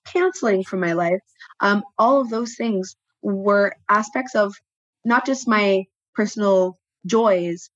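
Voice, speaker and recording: A woman whose first language is French, a guest speaking reflectively with frequent pauses and restarts. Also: mid-range voice, no room sound, highs cut off. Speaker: podcast guest, tattoo studio co-owner. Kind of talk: personal interview talk.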